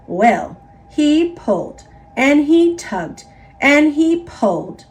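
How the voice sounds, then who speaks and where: A woman with an American accent narrating a children's picture book in an expressive, emphatic read-aloud style. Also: sits high, slow pace. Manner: expressive, emphatic, repetitive build-ups. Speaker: storybook narrator.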